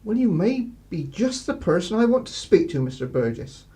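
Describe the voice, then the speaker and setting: A man acts a village constable in a radio drama, with an English accent. He is apologetic, plodding and slyly polite, his pitch rising and falling in a very lively way. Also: normal speed. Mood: quietly knowing. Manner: hesitant, apologetic, polite, roundabout. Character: deferential, plodding but sly male village constable. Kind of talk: radio play acting.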